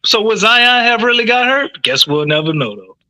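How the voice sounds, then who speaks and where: Bright, lively New Orleans man. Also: high voice, moderate pace, no room sound. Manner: playful, wry jokes. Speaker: podcast co-host. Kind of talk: casual sports banter.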